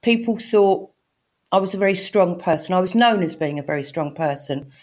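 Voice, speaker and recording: Croaky older lady, lowish voice, telling it straight. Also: animated, normal speed, darkish tone. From England. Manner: straight-talking, candid. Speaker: guest. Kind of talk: personal storytelling.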